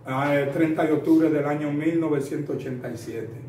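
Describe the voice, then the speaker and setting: A man's voice: fairly high pitch, steady tone, normal speed, warm tone. A man in his thirties from the Dominican Republic with a Dominican Spanish accent, a preacher telling his story earnestly as an interview guest, addressing the listener formally and using many fillers. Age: 30s. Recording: roomy echo, distant mic, faint noise.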